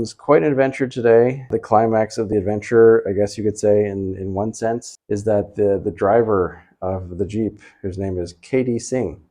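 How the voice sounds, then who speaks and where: A man's voice: on the low side, dark tone. A man talking casually as a travel vlogger, rambling along in a laid-back way. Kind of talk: casual vlog talk.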